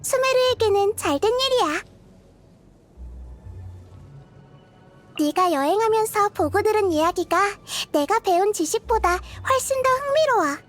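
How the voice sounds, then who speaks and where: A woman voicing a gentle, curious little girl deity of wisdom in a game, soft-spoken and thoughtful, as if kindly explaining. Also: very high voice, animated, moderate pace, hardly any pauses. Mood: patient, pleased. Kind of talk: scripted game character voice lines. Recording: music behind the voice. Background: background music.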